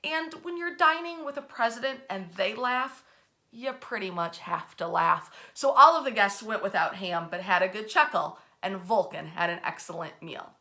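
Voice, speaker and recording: A woman with a bright, crisp-edged voice at an average pitch tells history as a storyteller. She is animated and informal, stressing words for dramatic effect. Wry, colloquial asides break up the narration. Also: moderate pace. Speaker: host and storyteller of a history series.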